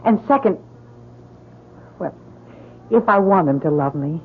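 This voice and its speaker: Anguished young woman, creaky and swooping. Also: lowish voice, lively intonation, measured pace, full character voice. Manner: pleading, hesitant. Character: anguished, self-doubting lovelorn young woman. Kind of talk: old-time radio drama acting. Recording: tinny, hissy old radio recording.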